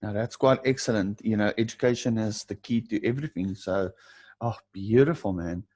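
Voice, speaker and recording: A man's voice: on the low side, normal speed, a little mellow, no room sound. A man hosting a conversational interview in an affirming, appreciative manner. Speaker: interview show host.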